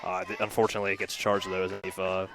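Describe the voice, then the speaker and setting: A man giving conversational color commentary on a softball game, explaining plays and now and then repeating his words. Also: sits low, completely flat delivery, quick pace. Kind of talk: analytical sports commentary.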